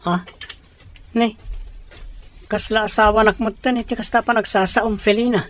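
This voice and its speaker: A woman's voice: medium pitch, steady tone, moderate pace, noisy background, close to an everyday voice. A woman playing a reluctant, exasperated young man in an Ilocano audio drama, protesting earnestly. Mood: annoyed.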